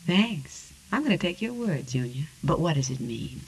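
Sassy, animated noir dame. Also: female voice, low-pitched, normal speed, breathy edge, exaggerated voice, low-quality recording. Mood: coy, wry. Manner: flippant, sharp-tongued. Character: sassy, tough noir dame, young woman. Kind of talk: 1940s radio noir acting.

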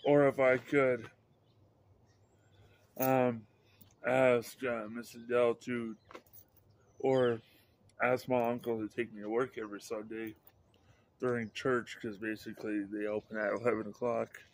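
Middle-aged male vlogger, slow and rambling, many restarts, phone at arm's length outside. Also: mid-range voice, flat delivery, slight background noise. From Idaho, USA. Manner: halting, repeats and restarts words, upbeat. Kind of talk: rambling casual vlog. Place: outdoors by a house under overcast sky. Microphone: handheld phone camera at arm's length.

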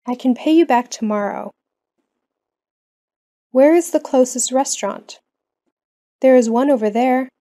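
A woman reading common questions and answers slowly for English learners. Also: fairly high pitch, mellow. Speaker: English lesson reader.

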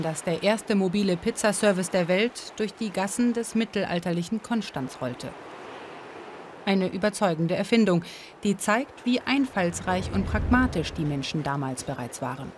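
A woman narrating a history documentary in a calm, informative and lightly playful manner, and also reporting on camera. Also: medium pitch, slight background noise. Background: music.

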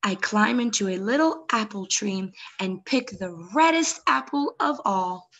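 A woman's voice: mid-range voice, unhurried, vocal fry, a bit bright, dull audio. A young adult woman hosting story time, reading a picture book expressively and speaking directly to young children.

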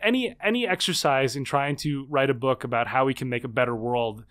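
A man in his thirties, a guest author and researcher, talking fast and animatedly with many restarts in a casual interview. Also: mid-range voice, fairly bright tone. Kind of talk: casual long-form interview.

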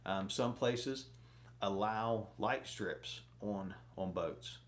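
A man's voice: lowish voice, extremely slow. A man in his thirties talking casually to camera from a small home office, rambling with many fillers while giving fishing advice. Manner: rambling, lots of fillers, gestures a lot. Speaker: fishing vlogger.

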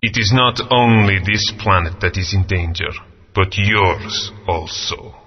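An actor playing a grave, stern ancient spirit, speaking in a measured way. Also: male voice, low voice, husky, exaggerated voice. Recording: dull game audio with long reverb.

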